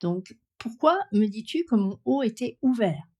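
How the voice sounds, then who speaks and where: A woman's voice: a little high, animated, measured pace, darkish tone. A woman speaking in a direct, critical way, questioning and demonstrating as a pronunciation coach. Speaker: pronunciation coach testing a voice assistant.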